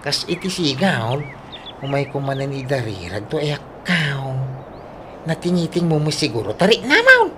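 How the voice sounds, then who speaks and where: A woman's voice: low-pitched, wildly swooping pitch, normal speed. An actress playing an emotional, fretful grieving woman in an Ilocano radio drama, with exclamatory, tearful delivery and an Ilocano accent. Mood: subdued. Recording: faint background noise.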